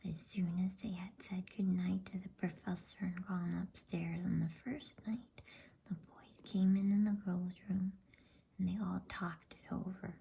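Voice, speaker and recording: A woman softly reading a bedtime story as ASMR, in a hushed, breathy, mostly unvoiced whisper. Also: on the low side, steady tone. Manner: soft, hushed, unhurried delivery.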